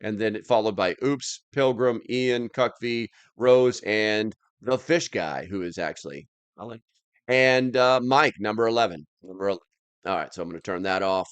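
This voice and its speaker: A man's voice: fairly low pitch, moderate pace, smooth, dry recording. A man hosting a live stream, chatting casually as he reads and answers comments in a rambling, plain-spoken, slightly grumbly way.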